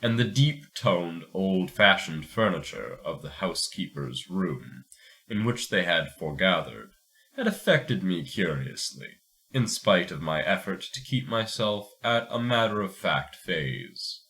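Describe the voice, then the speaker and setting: A man's voice: deep voice, lively intonation, measured pace, pushed and bright. A man hosting a fiction podcast, reading a story aloud and commenting on it. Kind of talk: dramatic story narration and casual commentary.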